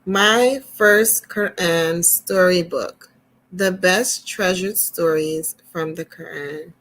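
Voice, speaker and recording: A woman hosting a children's storytime, reading deliberately and emphatically, with chatty asides. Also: lowish voice, a bit bright, rough recording. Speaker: storytime host and reader.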